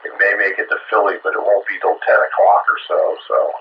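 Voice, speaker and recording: A man's voice: deep voice, brisk. An American man making casual conversation over a CB radio transmission, with a wry, grumbling, colloquial manner. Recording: lo-fi, very noisy two-way radio reception.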